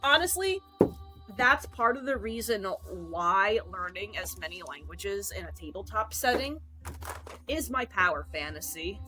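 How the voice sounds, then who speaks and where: A woman's voice: mid-range voice, very animated, a little slow, music in the background. A woman streamer in casual rambling chat, jokey and self-mocking.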